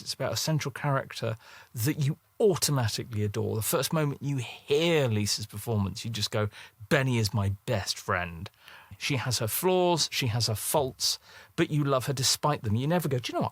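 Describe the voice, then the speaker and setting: A man's voice: medium pitch, breathy edge, no room sound. A man giving an emphatic documentary interview, building his points with repeated phrases and acted-out quotes. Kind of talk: documentary interview, fan-like advocacy.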